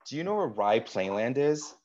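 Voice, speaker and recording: A man in his forties from Wisconsin, a guest telling stories in casual conversation, with a deep voice and a chatty manner full of "like" and "um". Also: moderate pace, highs cut off. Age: 40s. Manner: animated storyteller, frequent "like" and "um".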